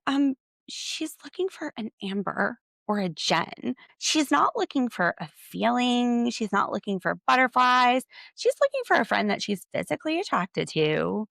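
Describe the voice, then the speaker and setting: A woman's voice: mid-range voice, creaky, a bit bright, no room sound. A woman hosting a solo podcast, recapping a reality TV episode with sarcastic, exasperated commentary. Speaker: podcast host.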